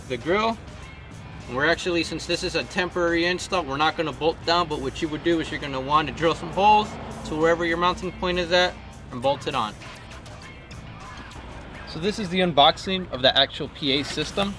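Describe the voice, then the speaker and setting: Male how-to presenter, slightly high voice, informal and instructional, clear music bed behind him. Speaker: product installation presenter. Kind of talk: casual step-by-step how-to tutorial.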